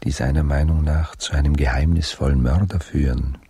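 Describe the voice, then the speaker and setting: An actor playing a brooding army officer and baron in a radio play, speaking in a measured, weary, often hushed manner. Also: male voice, extremely low pitch, steady tone, breathy edge, full character voice. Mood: weary, ironic. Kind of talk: radio play narration and dialogue.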